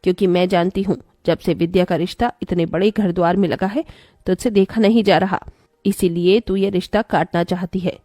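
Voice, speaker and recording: A woman voicing a sharp-tongued, scornful middle-aged mother in a dramatic cartoon story, scolding and taunting. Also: on the low side, steady tone, moderate pace, no room sound, studio quality, lightly acted. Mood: scornful, accusing. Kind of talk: Hindi cartoon story voice acting.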